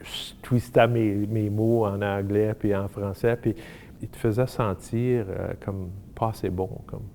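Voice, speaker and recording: A man with a Franco-Manitoban accent giving a halting personal testimony. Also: lowish voice, fairly even intonation, creaky, warm tone, a little hiss or hum. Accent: Franco-Manitoban French. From Manitoba, Canada. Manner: halting, searching for words. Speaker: interviewee.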